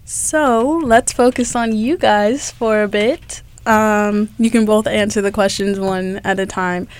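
A young woman interviewing shop owners, asking short questions and giving soft "okay"s. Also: medium pitch, fairly even intonation, slow pace, clean tone. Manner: brief prompts and soft acknowledgments.

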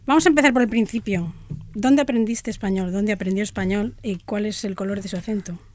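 A woman's voice: medium pitch, brisk, darkish tone. A woman hosting a radio interview, reading her welcome and then asking the guest questions. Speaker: radio host.

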